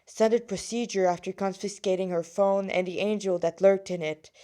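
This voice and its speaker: A woman reading her own fan fiction aloud in an amateur, halting way, stumbling and correcting herself with casual asides. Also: medium pitch, steady tone, mellow.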